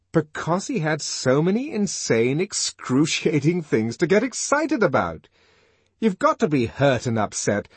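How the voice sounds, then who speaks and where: A man's voice: medium pitch, breathy edge, dry recording, voice pushed up, full character voice. A man voicing an intense, restless intellectual in an audiobook, emphatic and musing aloud. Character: restless, intense male intellectual, amused yet searching. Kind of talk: audiobook character acting.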